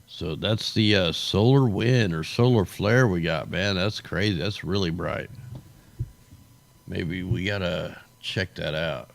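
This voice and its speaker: A man's voice: low voice, takes it slowly, vocal fry. A tired man with a worn-out voice hosting a livestream, giving rambling, slangy, off-the-cuff commentary. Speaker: livestream host. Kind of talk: casual off-the-cuff weather commentary.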